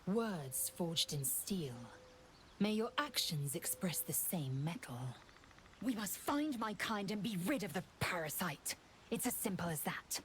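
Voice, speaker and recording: An actress playing a severe, impatient alien warrior in a fantasy game, clipped, imperious and blunt. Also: female voice, lowish voice, expressive, a little slow, a little hiss or hum. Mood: stern.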